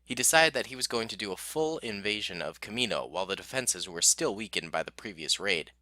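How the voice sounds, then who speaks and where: A man reading a scripted war story as narrator, in a steady storybook manner. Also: lowish voice, a little fast, dry recording.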